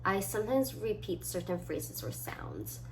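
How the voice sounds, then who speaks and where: A woman of about twenty chatting casually as a solo vlogger, reading items aloud and thinking through short, halting answers. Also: mid-range voice, sing-song, low-quality recording. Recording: clearly audible background noise.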